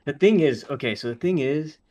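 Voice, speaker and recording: A young man co-hosting a podcast, telling stories in an animated way and acting out what others say. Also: a little high, a little fast. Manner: animated storyteller, acts out quotes. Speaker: podcast co-host. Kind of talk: casual podcast chat.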